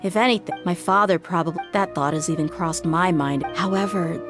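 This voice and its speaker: A woman's voice: lowish voice, fairly quick, lightly acted. An actress playing a tough, frank female mercenary who talks plainly, in a video game cutscene. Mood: steady. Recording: game soundtrack music behind.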